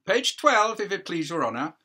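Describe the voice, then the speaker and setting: A man's voice: sits high, big pitch swings, normal speed, pushed and bright, husky, pitched above the performer's own voice, full character voice. A man voicing a formal, officious prosecuting counsel in a stage play, precise and legalistic.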